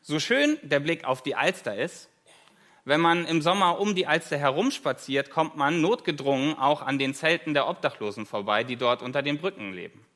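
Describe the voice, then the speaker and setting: A man's voice: a little high, animated, moderate pace, a bit bright. A German man in his thirties, a guest preacher giving a conversational sermon with light self-deprecating humor, in a church.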